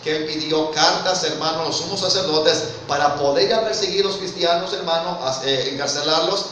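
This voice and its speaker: Mexican-accented male preacher, brightish and fairly high, earnest sermon delivery, heavy hall echo, mic from afar. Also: brisk, distant mic. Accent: Mexican Spanish. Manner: earnest, keeps addressing listeners as brother, asks rhetorical questions. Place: church hall. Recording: very echoey hall, mic from afar, faint noise.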